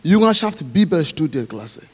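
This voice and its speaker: A man in his forties from Nigeria preaching a sermon in a church hall into a handheld mic, pausing for an interpreter. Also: on the high side, sing-song, breathy edge. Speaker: pastor.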